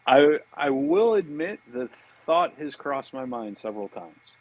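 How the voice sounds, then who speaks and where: A man with a rough-edged, husky voice at an average pitch. He talks as a podcast guest in a casual, easygoing way, like chatting with an old buddy. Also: very animated, a little slow, dull audio. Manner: easygoing, affable buddy talk. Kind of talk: casual chat.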